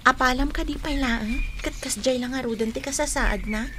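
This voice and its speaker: An actress playing a kind, supportive young student in an Ilocano radio drama. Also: female voice, high voice, normal speed, bright, forceful tone, slight background noise. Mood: defending, sympathetic. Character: kind, supportive young female student defending her classmate.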